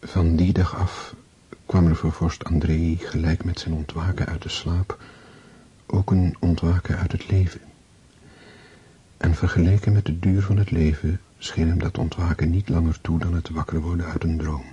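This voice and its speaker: A man narrating a literary radio drama in a solemn, measured way, with a husky voice. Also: deep voice, little pitch movement, dark tone, dull audio.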